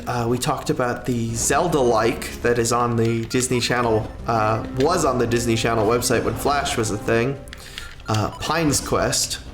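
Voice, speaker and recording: Male streamer, mid-range voice, unhurried and rambling delivery, roomy echo, music underneath. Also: mid-range voice, a bit bright. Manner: rambling, halting, with drawn-out pauses and self-corrections. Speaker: livestreamer and content creator. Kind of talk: casual livestream chat, answering a viewer's question.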